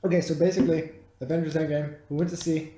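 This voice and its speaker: A man's voice: on the high side, little pitch movement, fast talker, mellow. A man chatting casually and bluntly about a film, swearing freely, with a few beers in him. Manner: blunt, dismissive, teasing. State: has been drinking beer. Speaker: podcast co-host reviewing a film. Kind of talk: casual, profane chat. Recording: roomy, echoey home recording.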